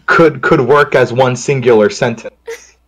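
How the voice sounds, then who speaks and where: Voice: mid-range voice, unhurried, slightly rough, poor audio. A guest joking casually and playfully, with mock drama, during a group game playthrough.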